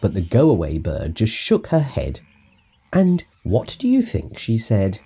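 A man reading a children's audiobook as narrator, in an expressive, measured read-aloud style. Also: on the low side, vocal fry, breathy edge. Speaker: storybook narrator.

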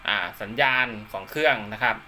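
Bright, forceful-voiced man demonstrating gear. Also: lowish voice, expressive, fairly quick, a little hiss or hum. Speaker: amateur radio hobbyist presenting his own video. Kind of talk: casual hands-on demonstration. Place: indoors at home.

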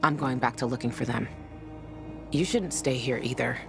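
Hardened woman fighter, game drama: deep for a woman, sardonic, blunt, over music. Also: moderate pace, gravelly. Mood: bitter, frustrated. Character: tough, jaded, blunt woman survivor fighter. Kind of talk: video game dramatic acting.

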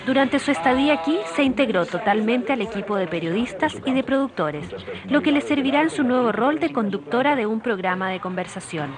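A woman giving a measured, polished, scripted voice-over for a television news feature. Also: a little high, lively intonation. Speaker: TV reporter narrator. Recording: voice-over with music bed.

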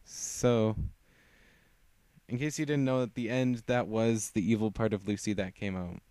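Young man reading with halting restarts. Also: lowish voice, steady tone, warm tone, no room sound. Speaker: fan fiction reader. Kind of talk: reading his own fan story aloud.

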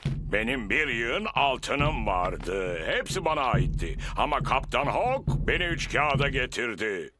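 A man's voice: mid-range voice, very animated, measured pace, noisy background. A man playing a jolly, blustering old grandfather dog who talks like a theatrical pirate captain in a cartoon. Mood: dramatic, scheming. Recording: music behind the voice.

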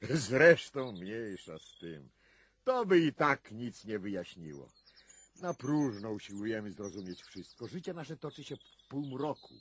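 Wry, assured male investigator for radio drama: deliberate, slow, melodically varied delivery. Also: fairly low pitch, big pitch swings, breathy edge, exaggerated voice. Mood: musing. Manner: theatrical, deliberate phrasing with dramatic pauses. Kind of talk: 1990s Polish radio drama acting.

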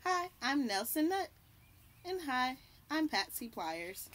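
A young adult woman reading a picture book aloud to children, deliberately, pausing to point out and label the pictures. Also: high-pitched, very animated, a bit bright. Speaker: children's read-aloud host. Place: outdoors on a house porch by trees. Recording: birdsong and light outdoor background noise. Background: birds chirping.

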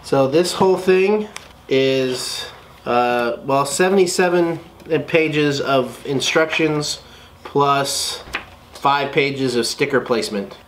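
A man's voice: fairly high pitch, slow pace, a bit bright, slight background noise. Upbeat chatty man unboxing a set. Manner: upbeat, chatty, thankful to viewers. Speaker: channel host. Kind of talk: casual unboxing review. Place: small indoor room at a table.

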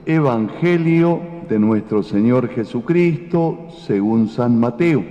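Older guy, priest at mass, mellow slowish voice, big echoey church, kinda dull sound. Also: mid-range voice, expressive. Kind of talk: Gospel reading and homily. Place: large brick church. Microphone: lectern gooseneck microphone.

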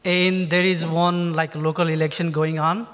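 A man with a Nepali accent asking an audience question at a stand microphone, halting and full of filler phrases. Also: on the high side, flat delivery, a bit bright. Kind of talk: unscripted public question. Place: theatre, standing below the stage. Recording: reverberant theatre hall.

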